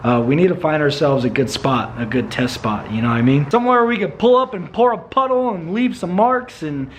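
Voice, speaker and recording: A man chatting casually in a car vlog, laid-back and slangy, calling people bro and dude, and he is going hoarse. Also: a little high, fairly quick. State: hoarse, losing his voice. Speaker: car build vlogger. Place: in a car, driving. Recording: dull lo-fi sound, loud road noise. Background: road and engine noise.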